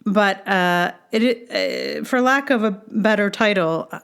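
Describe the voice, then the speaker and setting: A woman podcaster with a mid-range voice reads her own essay aloud. She takes her time, speaking slowly and deliberately in short phrases with pauses between them. The delivery is that of a writer sharing personal reflections. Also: mid-range voice, fairly bright tone, smooth. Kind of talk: reading a personal essay aloud, with casual asides.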